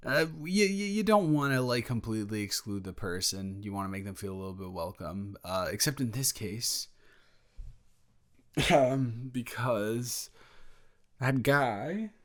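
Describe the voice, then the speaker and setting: A man playing a sheepish, evasive young male roommate in an improvised audio roleplay, halting and rambling as he talks. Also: mid-range voice, a bit bright, close to an everyday voice. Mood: nervous, hedging. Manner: halting, rambling, trailing off into restarts.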